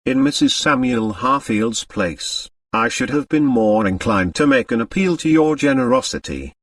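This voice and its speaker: A man's voice: medium pitch, lively intonation, a bit bright, no echo, distant mic. Man acting a kindly, fatherly elderly lawyer. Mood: wry. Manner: measured, formal, advisory.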